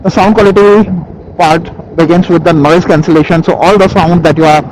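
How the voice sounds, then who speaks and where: A man with an Indian accent giving a casual, halting product review as a motovlogger, speaking into a helmet intercom microphone. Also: fairly high pitch, fairly even intonation, a little mellow, rough recording. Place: outdoors, riding a motorcycle. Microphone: Bluetooth helmet intercom microphone. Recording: helmet intercom mic with vehicle noise.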